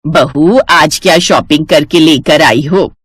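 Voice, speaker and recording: A woman's voice: low voice, slow, breathy edge, dry recording, studio quality, pitched below the performer's own voice, exaggerated voice. A woman voicing an older mother-in-law in a family story, doting and indulgent with a fawning manner.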